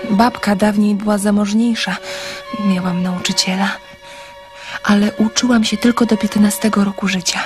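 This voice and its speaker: A candid, sensitive girl of seventeen in a radio drama, played by a woman. She is trusting and earnest, confiding in a mid-pitched voice. Music plays faintly behind her. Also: steady tone, moderate pace, lightly acted.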